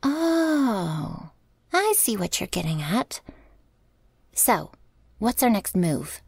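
A woman's voice: mid-range voice, very animated, very slow, gravelly, darkish tone, slightly rough, no echo, studio quality, heavily acted. A woman playing a vampire's young servant, anxious and loyal, in a casual, questioning audio roleplay. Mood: doubtful. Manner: hesitant, colloquial, questioning.

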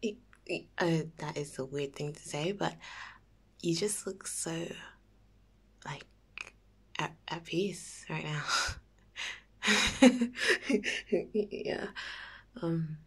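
A woman playing a playful, nervous young date in an intimate ASMR roleplay, soft and halting, often near a whisper. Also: low-pitched, husky, full character voice. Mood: shy, tender. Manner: halting, giggly, teasing.

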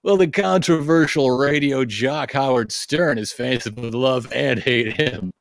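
Male podcast reader, mid-pitched and close-miked, delivering copy aloud with wry asides. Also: measured pace, vocal fry, no echo. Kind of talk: reading aloud with joking asides.

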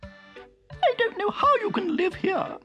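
A woman voicing a proud, fussy female town mouse in a storybook reading, with a high squeaky, sniffy delivery. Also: expressive, slow, fairly bright tone, lo-fi sound, soft background music, full character voice. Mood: haughty.

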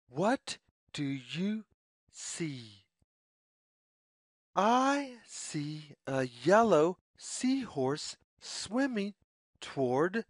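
Very slow, sing-song woman reading to children. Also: medium pitch, husky, no room sound, clean studio sound. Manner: sing-song, deliberate word-by-word phrasing. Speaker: story reader. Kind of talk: children's picture-book read-aloud.

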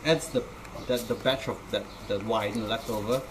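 A young man from Malaysia, explaining local products to visitors in a small shop, pausing and restarting as he searches for words. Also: fairly low pitch, fairly even intonation, background noise.

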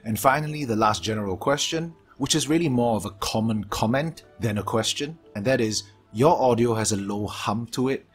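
A man from Singapore with a Singaporean English accent, reading a scripted gaming Q&A voice-over in a steady, measured way from his home hobby room, his s sounds sharp and a low hum behind him. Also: faint music behind. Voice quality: strong sibilance, low hum from aquarium pumps. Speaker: gaming YouTuber.

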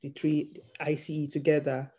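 A doctor in training, a non-native English speaker, answering interview questions in a hesitant, measured way with many ums. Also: medium pitch, completely flat delivery, warm tone, breathy edge. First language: not English. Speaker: GP trainee interviewed about passing an exam.

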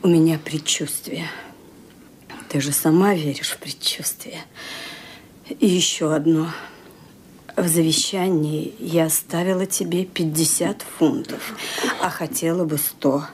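An actress playing an elderly frightened widow who speaks gravely and firmly in a radio play. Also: female voice, deep voice, steady tone, darkish tone, slight background noise. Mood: commanding. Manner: measured, grave, commanding. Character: frightened elderly widow, firm with her maid.

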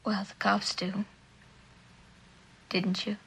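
A woman's voice: medium pitch, flat delivery, slow pace, vocal fry, a bit bright, husky, full character voice. A young American actress playing a blunt, breezy, kind-hearted female drifter in a mid-century suspense drama, with casual, slangy delivery. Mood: probing, sympathetic. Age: young adult. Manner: casual, slangy, plain-spoken.

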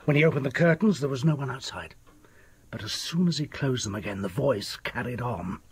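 Haunted older doctor, very raspy, creaky, halting, theatrical, radio drama. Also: male voice, medium pitch, animated. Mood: grim, ominous. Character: haunted, desperate older doctor, sardonic and fearful.

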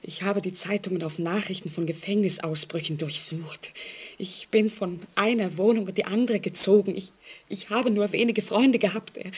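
An actress playing a frightened woman in an old radio play, speaking anxiously and haltingly with dramatic intensity. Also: medium pitch, breathy edge, natural voice. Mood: terrified, urgent. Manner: anxious, dramatic, halting, sometimes dropping to a whisper. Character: frightened single woman telling police of a stalking convict. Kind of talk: 1940s German radio play acting.

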